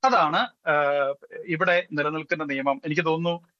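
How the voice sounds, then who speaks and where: A man speaking as a priest on a debate panel, explaining in a formal, deliberate, emphatic way. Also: a little high, bright, forceful tone, no echo, highs cut off.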